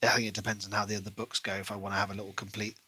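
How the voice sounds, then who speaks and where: A man's voice: lowish voice, monotone, racing, pushed and bright, husky. A man co-hosting a podcast, talking casually and analytically through his thoughts on a book.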